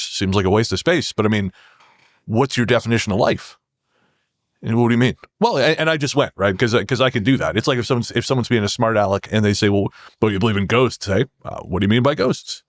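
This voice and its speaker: A man with a moderately low voice tells casual anecdotes as a podcast guest. His delivery is wry, and the recording is dry and free of room echo. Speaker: podcast guest, ghost show host.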